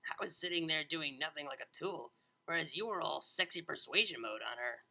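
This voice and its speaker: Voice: medium pitch, lively intonation, a little fast, creaky, pushed and bright, exaggerated voice, low-quality recording. A narrator voicing a brash, playful young female unicorn DJ with slangy, laid-back speech in a story. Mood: casual. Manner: slangy, laid-back, stumbling over words.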